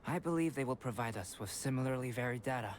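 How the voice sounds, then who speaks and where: An actor playing a calm, aloof young alchemist in a video game, measured, polite and detached. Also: male voice, mid-range voice, slight background noise, natural voice. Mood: composed. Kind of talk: video game character dialogue. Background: music.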